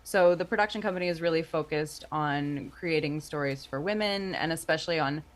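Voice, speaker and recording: Lower-voiced woman, casual interview guest. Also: a little fast. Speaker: interview guest, podcast creator.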